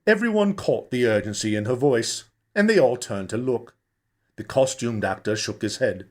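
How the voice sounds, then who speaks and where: A man reading a novel aloud as narrator, in an expressive, theatrical storytelling style. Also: mid-range voice, moderate pace. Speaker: audiobook narrator, actor. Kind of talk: dramatic scripted novel narration.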